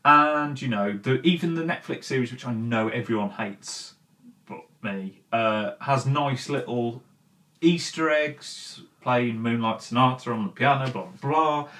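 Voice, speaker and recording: A man's voice: mid-range voice, a little slow, a bit bright. Emphatic man giving opinionated commentary. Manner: emphatic, informal, mildly sweary. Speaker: host of a film and games commentary show. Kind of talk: opinionated video essay talk, conversational.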